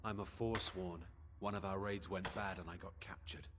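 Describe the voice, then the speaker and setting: A man voices a hardened rebel convict in a fantasy video game. His voice is fairly low, curt and bitter, always suspicious. Loud noise and clinking surround it. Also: steady tone, normal speed, lightly acted. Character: hard, bitter, suspicious male rebel prisoner.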